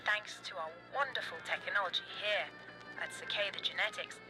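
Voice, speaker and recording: Loud music runs under a corporate orientation tape narrator played by a performer. The delivery is scripted, measured and presentational, made for a horror series. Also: medium pitch, close to an everyday voice, low-quality recording. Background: prominent music.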